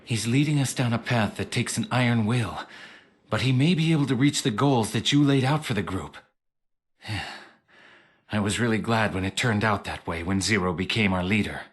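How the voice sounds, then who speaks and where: An actor playing an earnest, awkward young rebel officer in an anime audio drama, with a hesitant, sincere, easily flustered delivery. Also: male voice, on the low side, normal speed, close to an everyday voice. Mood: confiding, earnest. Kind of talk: anime dub audio drama acting, confiding monologue.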